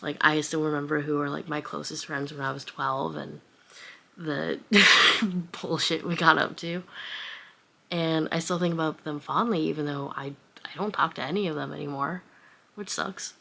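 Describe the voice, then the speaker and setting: Young woman, book vlogger: low voice, informal and rambling, off-the-cuff chat. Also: fairly even intonation, a little slow, gravelly, sharp tone. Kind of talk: casual unscripted chat.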